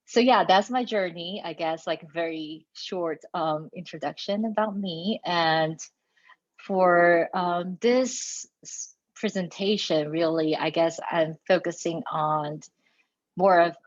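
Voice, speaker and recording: Low-voiced young woman from Malaysia. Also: dry recording, dull audio. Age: young adult. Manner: relaxed, hesitant, many fillers. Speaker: guest speaker, PhD student. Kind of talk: casual lecture introduction. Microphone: video call.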